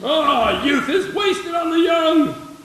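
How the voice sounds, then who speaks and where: A man playing a cranky old neighbour, shouting in exasperation in a live radio play. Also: very high-pitched, animated, slow, some chatter behind, exaggerated voice. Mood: exasperated. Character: cranky old male neighbour hollering from his porch. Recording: very echoey hall, live stage.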